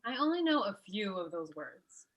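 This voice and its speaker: A woman's voice: medium pitch, very animated, moderate pace, a bit bright, highs cut off. An actress playing a supportive, mildly puzzled girlfriend of about thirty in a naturalistic, understated comedy table read. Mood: casual concern.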